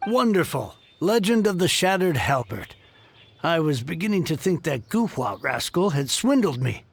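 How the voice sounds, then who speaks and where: A man's voice: a little high, big pitch swings, normal speed, creaky, slight background noise. A man voicing an earnest heir of a fallen noble family in a video game, formal and effusive. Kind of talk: video game character acting.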